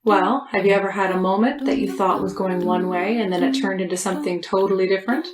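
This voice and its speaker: A woman's voice: mid-range voice, fast talker, roomy sound, a loud crowd. A woman co-hosting a podcast, reading a scripted introduction. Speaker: podcast co-host.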